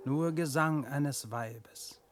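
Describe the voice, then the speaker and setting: An actor playing a sly, implacable supernatural soul-collector in a radio verse drama, speaking in a measured, insinuating, theatrical way. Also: male voice, fairly high pitch, slight background noise. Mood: cool, ominous. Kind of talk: 1960s German radio drama verse acting.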